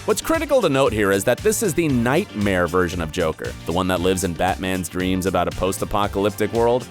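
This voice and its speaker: A man's voice: low-pitched, sing-song, fairly quick, faint music behind. A man reading a scripted entertainment video narration in an emphatic, conversational presenter's way. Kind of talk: scripted movie trailer breakdown narration.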